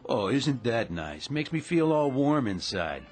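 An actor playing a calm, sly stranger in a radio drama with music underneath. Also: male voice, mid-range voice, measured pace. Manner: sly, dry, teasing. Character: calm mysterious man, sly and confident.

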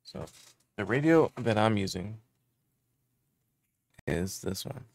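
A man's voice: fairly low pitch, lively intonation, takes it slowly, darkish tone. A man in his thirties giving a casual how-to explanation as host of a tech tutorial. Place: small indoor room with electronics gear.

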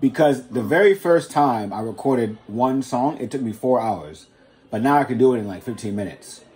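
A man's voice: mid-range voice, animated, normal speed, a little mellow. Rapper guy just chatting on a podcast, medium voice, slangy, tells little stories. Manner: informal, slangy, anecdotal. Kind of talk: casual chat.

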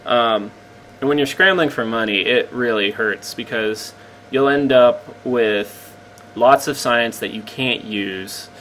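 Man, mid-pitched, slightly croaky, rambling self-deprecating game commentary, faint fan hum. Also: takes it slowly, fairly bright tone, rough recording. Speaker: gameplay commentator. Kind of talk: casual gameplay commentary.

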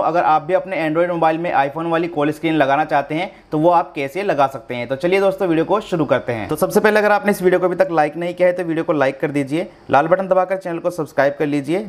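A man's voice: on the high side, quick pace, few pauses. A man hosting a tech tutorial, explaining phone steps one by one and addressing viewers directly in an instructional way. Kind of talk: step-by-step phone tutorial narration.

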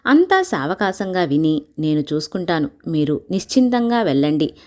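A woman voicing a clever male court jester who poses as a scholar in a children's story, calm, courteous and slyly flattering. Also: deep voice, moderate pace. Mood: calm, reassuring.

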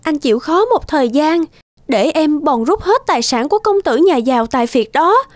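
A woman voicing a scheming young gold-digger in an animated moral tale, coaxing and sly. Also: high-pitched, normal speed, no echo, clean studio sound.

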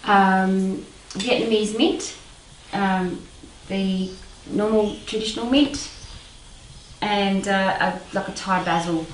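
Woman, thirties, relaxed home-cook demo, roomy kitchen, dish clatter behind. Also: mid-range voice, slow, far from the mic, slight background noise. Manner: hesitant, many ums, relaxed. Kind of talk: casual cooking demonstration. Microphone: far camera mic. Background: clattering dishes and cutlery.

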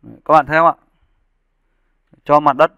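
A man with a clear, bright voice pitched a little high, teaching in a casual tutorial style. He explains each step informally and often checks that his listeners are following. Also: little pitch movement, brisk, dry recording, muffled recording. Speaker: 3D animation instructor. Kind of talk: casual software tutorial narration. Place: indoors, screen-recording voice-over.

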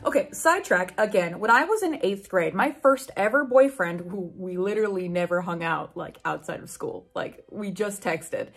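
A woman's voice: fairly low pitch, lively intonation, normal speed, creaky, hardly any pauses, breathy edge, faint music behind. A woman in her twenties giving casual, sweary, incredulous reaction commentary as she reads aloud in a room at home. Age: 20s. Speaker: book YouTuber. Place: small room at home with bookshelves.

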